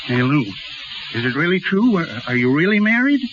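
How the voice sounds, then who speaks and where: An actor playing a timid, kindly carnival pal with a hesitant, folksy way of talking, in 1930s radio drama style. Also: male voice, fairly high pitch, sing-song, a little fast. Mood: curious. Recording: muffled, noisy 1930s radio recording.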